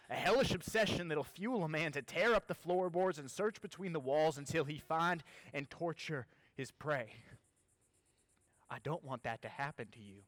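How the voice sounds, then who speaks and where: An actor playing a righteous, protective 19th century preacher, with emphatic oratorical phrasing in a theatrical stage style. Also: male voice, fairly high pitch, a bit bright, dry recording.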